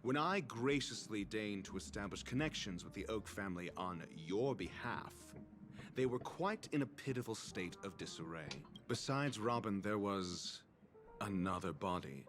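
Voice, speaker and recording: An actor playing a haughty, dry man who speaks in an aloof, pompous, measured way in a video game. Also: on the low side, animated, close to an everyday voice. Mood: cool disdain. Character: haughty, dry male associate reporting coolly. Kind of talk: dramatic video game acting. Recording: music behind the voice.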